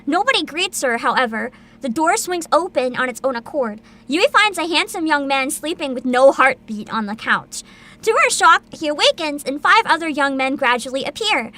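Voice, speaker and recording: A woman streamer in casual livestream chat, excitable and giggly, rambling with frequent laughter. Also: high voice, moderate pace. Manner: reads a plot description aloud with asides. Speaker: VTuber streamer.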